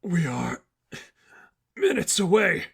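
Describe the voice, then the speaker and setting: A man's voice: high voice, big pitch swings, takes it slowly, creaky, fairly bright tone, slightly rough, pitched above the performer's own voice, exaggerated voice. Audio-story villain, man acting battered male zealot, strained, sanctimonious, menacing. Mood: grim menace.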